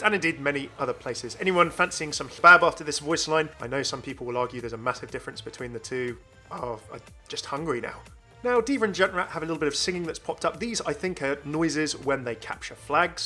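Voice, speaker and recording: Fast, bright, enthusiastic male gaming host. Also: a little high, faint music behind. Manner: enthusiastic, chatty. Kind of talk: informal video game commentary.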